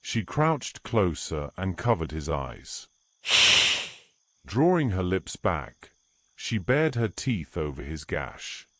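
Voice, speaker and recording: Deep, slow male narrator. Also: sing-song, a little hiss or hum. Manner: measured storytelling. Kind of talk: scripted narration.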